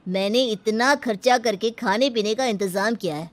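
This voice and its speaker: A woman voicing an indignant brother of the bride in a cartoon story, scolding and accusing forcefully. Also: fairly high pitch, moderate pace, natural voice. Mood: angry, scolding. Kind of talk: Hindi cartoon story acting.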